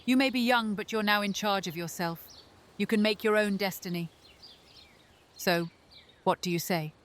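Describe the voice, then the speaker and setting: Woman playing a measured, coaxing female vampire mentor. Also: medium pitch, fairly bright tone, close to an everyday voice. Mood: tender. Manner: measured, deliberate, soothing and persuasive. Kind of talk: narrative fantasy horror audio roleplay.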